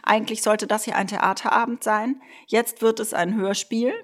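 A woman's voice: fairly high pitch, fairly even intonation, normal speed. A woman speaking as a theatre director, informally explaining a play to her team. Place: home office video call.

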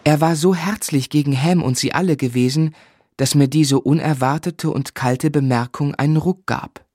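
A man playing an earnest, affectionate young man who recalls his life in measured literary phrasing for a radio drama. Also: fairly high pitch, darkish tone, no echo, studio quality, close to an everyday voice. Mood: warm admiration. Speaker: narrator and lead actor.

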